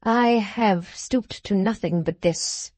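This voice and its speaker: Woman reading a restrained, dignified young man; mellow, dry. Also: mid-range voice, slow, dry recording. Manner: calm, restrained.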